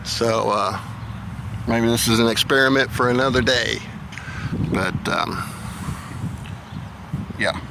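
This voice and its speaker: A man's voice: mid-range voice, slow, gravelly, pauses often, noisy background. A man doing casual amateur radio test calls and narration outdoors. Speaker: amateur radio operator and vlogger. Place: outdoors in a local park.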